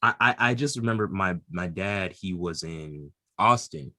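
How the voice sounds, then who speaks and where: A man co-hosting a casual podcast, lively and loaded with fillers. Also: sits low, moderate pace, no room sound, studio quality. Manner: lively, hesitant with many fillers. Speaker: podcast co-host. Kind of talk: casual chat.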